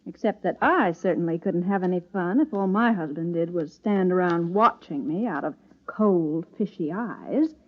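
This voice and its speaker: A woman's voice: lowish voice, animated, normal speed. An actress playing a hard-boiled, sardonic society woman with dry delivery in old-time radio style. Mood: needling. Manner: dry, sarcastic drawling delivery. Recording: thin, phone-like old radio recording.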